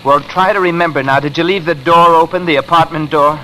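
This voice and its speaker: An actor playing an earnest, wounded ex-con husband in a 1950s American radio noir, with urgent, clipped slang. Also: male voice, fairly high pitch, steady tone, brisk, bright, forceful tone, low-quality recording. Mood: bitter, urgent. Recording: hissy vintage radio recording.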